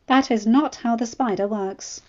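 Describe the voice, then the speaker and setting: A woman voicing a sharp, bold noblewoman with a blunt, self-assured manner. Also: fairly high pitch, measured pace, dull audio, natural voice. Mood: blunt, confident.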